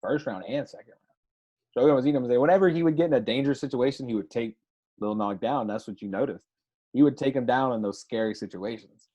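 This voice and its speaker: A man with a mellow, dark voice talks in a casual, slangy way, tossing in "bro" and "like". He co-hosts a fight chat, and his dull, lo-fi mic takes the edge off the sound. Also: mid-range voice, quick pace. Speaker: podcast co-host.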